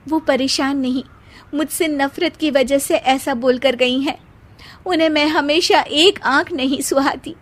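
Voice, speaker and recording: A woman's voice: sits high, steady tone, measured pace. A woman voicing a dutiful, long-suffering young daughter-in-law in a family cartoon, polite and earnest. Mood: hurt. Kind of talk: Hindi family cartoon dubbing.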